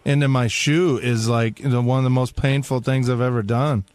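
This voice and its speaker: A man's voice: medium pitch, fairly even intonation, moderate pace, darkish tone, no echo. A man talking casually as a guest in an informal radio chat. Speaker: show staff member and drummer being interviewed.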